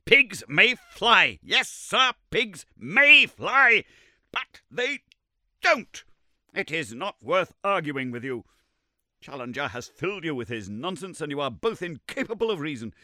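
A man voicing an irritable, sarcastic elderly male professor who scolds in a shrill, indignant voice. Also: sing-song, normal speed, fairly bright tone, no room sound, studio quality. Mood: furious.

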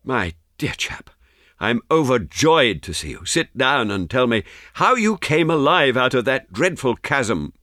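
Man acting a loyal gentleman companion, excitable, earnest, wide swinging pitch, emphatic, storybook dialogue, dry sound. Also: a little slow, no room sound. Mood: overjoyed.

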